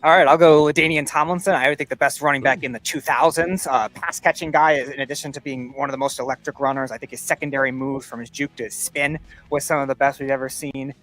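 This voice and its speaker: Guy talking sports really quick, casual panel chat, music behind. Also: medium pitch, fairly even intonation, fairly bright tone.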